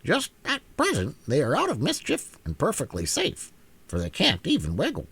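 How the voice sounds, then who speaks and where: A man voicing a talkative female hen with a sharp, brisk voice, pitched high above his own. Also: huge pitch swings, vocal fry, slightly rough, full character voice.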